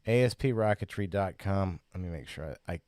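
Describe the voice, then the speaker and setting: A man's voice: sits low, fairly quick, a little mellow, no echo, clean studio sound. A sniffly man hosting a casual livestream, rambling and chatty with lots of fillers and restarts. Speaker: livestream host.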